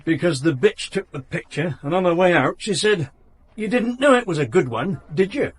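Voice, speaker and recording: A grudging, sardonic shopkeeper with a husky, slightly high voice. He answers tersely and evasively, with an insolent edge, in the manner of a radio crime drama. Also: lively intonation, moderate pace. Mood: resentful, sardonic.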